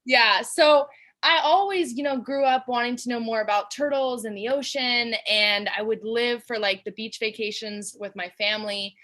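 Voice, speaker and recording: Bubbly bright young woman chatting. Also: a little high, normal speed. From Georgia, US. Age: young adult. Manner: bubbly, chatty, many fillers like "like". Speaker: guest. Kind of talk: casual interview chat.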